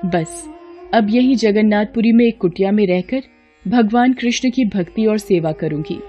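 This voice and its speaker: A woman's voice: mid-range voice, moderate pace, muffled recording, soft background music, close to an everyday voice. A woman playing an innocent, stubborn, devout young village girl in a devotional story, earnest and simple-hearted. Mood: content. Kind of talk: Hindi devotional story acting.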